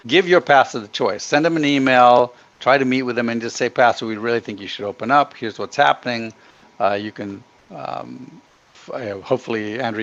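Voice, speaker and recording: Gravelly, emphatic middle-aged man, muffled recording. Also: mid-range voice, fairly bright tone. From Ghana, grown up in Africa and the Middle East. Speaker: podcast guest. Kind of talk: earnest opinionated interview talk. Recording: dull, muffled lo-fi connection.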